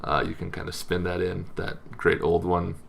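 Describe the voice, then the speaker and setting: A man hosting an informal explainer on tabletop game monsters, talking in a casual, halting, loosely phrased way. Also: low voice, flat delivery, vocal fry, slight background noise. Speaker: host of a tabletop roleplaying lore show.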